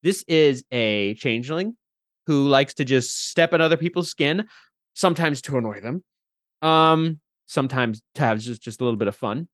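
A man chatting casually as a podcast guest, animated and thinking aloud as he goes. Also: mid-range voice, normal speed, no room sound, clean studio sound. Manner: animated, thinks aloud about character voices. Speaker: podcast guest, tabletop role-player. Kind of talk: casual chat.

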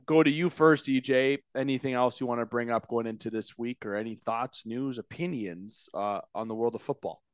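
A man's voice: medium pitch, clean tone, dry recording, studio quality. A man hosting a casual sports podcast, laid-back as he leads the round table. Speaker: podcast host.

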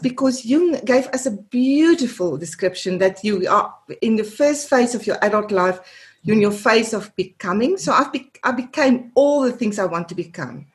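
A woman in her fifties from South Africa with a South African accent, Afrikaans as her first language, a therapist guest earnestly recounting her career in an interview. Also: mid-range voice. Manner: earnest, repeats words while searching for them. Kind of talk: reflective interview answers.

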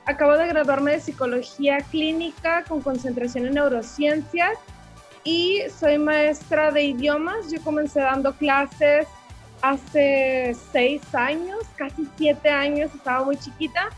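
A woman's voice: sits high, a little slow, dull audio, soft background music. A woman in her twenties with a Mexican accent, a language teacher chatting about her story as an interview guest, with lots of fillers. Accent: Mexican Spanish. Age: 20s. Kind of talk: casual interview answers.

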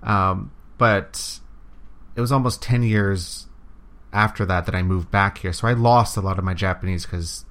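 A man from Canada telling stories in a relaxed, casual chat, laughing now and then. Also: deep voice, measured pace.

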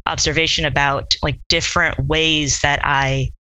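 A woman's voice: low voice, little pitch movement, moderate pace, bright, forceful tone, smooth, no room sound, rough recording. A woman whose first language was Korean, talking in an interview about her teaching in a reflective way, with many fillers and hedges. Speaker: law instructor, interviewee. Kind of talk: conversational interview.

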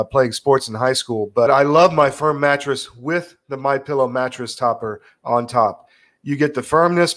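A man's voice: medium pitch, a bit bright. A middle-aged man hosting a podcast, talking conversationally as he reads sponsor copy and introduces guests. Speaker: podcast host. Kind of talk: conversational host talk and personal ad read.

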